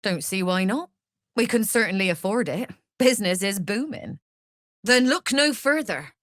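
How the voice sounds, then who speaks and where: A woman's voice: mid-range voice, animated, normal speed, fairly bright tone, dry recording, studio quality, lightly acted. A woman playing a shrewd, worldly smuggler and trader in a video game, speaking in a sly, wry, businesslike manner. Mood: sly, pleased. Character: shrewd, worldly female smuggler-merchant of a criminal trade network. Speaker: trader and guild agent. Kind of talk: video game character dialogue.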